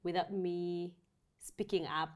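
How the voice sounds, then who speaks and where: A woman in her thirties with a Kenyan accent, talking in a relaxed way with her husband at a table indoors. She is lively and laughs often, and her voice is picked up close on a small clip-on mic. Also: fairly low pitch, slow. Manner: animated, laughs easily. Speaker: guest. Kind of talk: casual chat. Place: hotel apartment living room.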